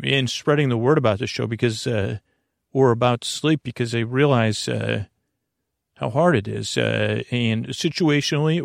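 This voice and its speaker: Halting man with a creaky, low-pitched voice. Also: no room sound, studio quality. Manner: halting, meandering, trailing off mid-sentence. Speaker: podcast host. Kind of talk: rambling, informal bedtime podcast monologue.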